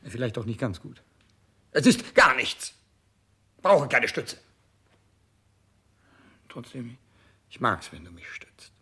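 A man's voice: medium pitch, very animated, slow, pauses often, slightly rough, full character voice. An actor playing an imperious, petulant, ailing king in denial, pompous and capricious, in a 1960s radio play. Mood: grumbling, feeble. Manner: capricious, pompous, swinging from shouts to mutters.

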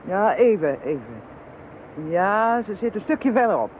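Musing woman, swooping pitch. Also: big pitch swings, unhurried. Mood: concentrating. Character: musing, faintly menacing female psychic tracker. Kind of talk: radio drama acting. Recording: tinny, band-limited, hissy radio play recording.

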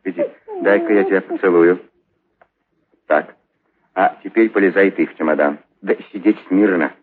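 A man's voice: sits low, normal speed, phone-quality sound, a little hiss or hum, natural voice. A man playing a nervous circus clown in a children's audio play, fretting and fondly coaxing his trained animals. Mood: tender, hushed urgency. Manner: fretful muttering, fond coaxing of his animals.

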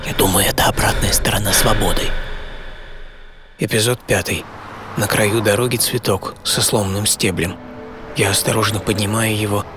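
A man reading a scripted first-person radio story in a reflective, intimate, wry way. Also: fairly low pitch. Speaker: radio host and narrator. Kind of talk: scripted first-person audio drama narration. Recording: voice over background music.

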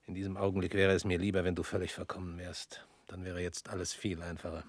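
An actor in a radio play voicing an intense former interrogator, moving between hushed whispers and raised declamation. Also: male voice, deep voice, monotone, fast talker. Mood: cold confession. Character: former interrogator turned self-declared criminal, man, intense. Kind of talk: radio drama acting.

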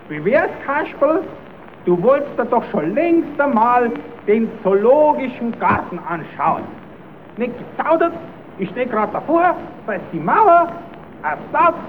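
Casting: a scheming, folksy clown, extremely high voice, children's radio play on narrow vintage sound. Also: male voice, full character voice. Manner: exclamatory, self-addressing, stammers when flustered. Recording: narrow tinny old radio recording, hissy.

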